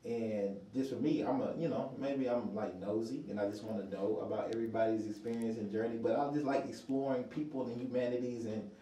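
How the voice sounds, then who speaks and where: A man's voice: medium pitch, steady tone, brisk, hardly any pauses, mellow. Man, podcast host, enthusiastic, loose, echoey room. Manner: enthusiastic, loose, filler-heavy. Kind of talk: casual interview hosting. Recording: roomy echo.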